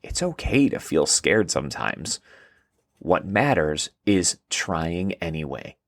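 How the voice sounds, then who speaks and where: A man voicing a shy female emotion creature, gentle and reassuring, for a children's story. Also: low voice, big pitch swings, takes it slowly, dry recording, studio quality, voice pushed down, exaggerated voice.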